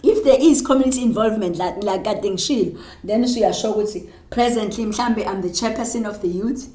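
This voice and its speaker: South African woman, Zulu accent, mid-pitched teacher dictating slowly, code-switching, echoey classroom. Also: dark tone. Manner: mixes Zulu and English, dictates slowly while writing. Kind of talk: classroom lesson on job applications. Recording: roomy, echoey room.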